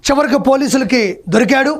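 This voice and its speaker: Male comic troll-show host, very high voice, quick mocking storytelling over a noisy, clicking background. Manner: mocking, animated storytelling with acted-out quotes. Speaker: host of a political troll video.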